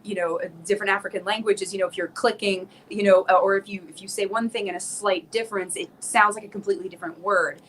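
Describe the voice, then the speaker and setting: A woman's voice: mid-range voice, steady tone, quick pace, hardly any pauses, fairly bright tone, a little hiss or hum, rough recording. An American woman from South Florida, a singer chatting casually as a guest in a video call interview, laughing often and restarting her sentences.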